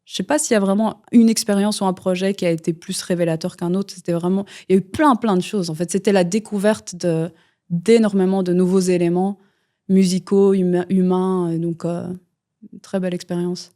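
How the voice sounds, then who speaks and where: A woman's voice: on the low side, steady tone, fairly quick, warm tone. A woman, a guest musician talking in a casual interview, rambling with many fillers and restarts. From Belgium.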